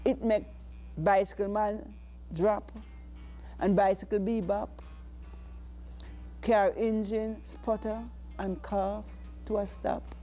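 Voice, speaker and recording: A woman with a Jamaican accent reciting spoken-word poetry in a deliberate, dramatic way. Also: medium pitch, steady tone, dark tone, faint music behind. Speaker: poet.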